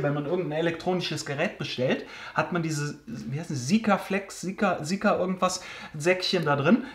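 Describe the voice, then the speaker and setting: Casual male video host, fairly high-pitched, rambling Q&A delivery, in a reverberant cellar. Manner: chatty, hesitant fillers, joking asides. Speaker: car care channel host. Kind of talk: casual Q&A answering viewer questions. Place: basement storage room.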